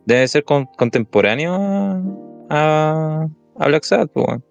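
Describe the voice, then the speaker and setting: A man's voice: on the high side, lively intonation, slow, gravelly, lo-fi sound. A young man with a Chilean Spanish accent in a casual music chat as a podcast co-host, laid-back and hesitant and full of slang.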